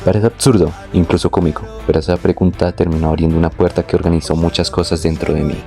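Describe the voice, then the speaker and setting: A young man reading a scripted, reflective personal narration in a measured, literary way. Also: sits low, little pitch movement, vocal fry, mellow, faint music behind. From born in Venezuela, moved to Colombia. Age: young adult. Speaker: narrator of his own life story.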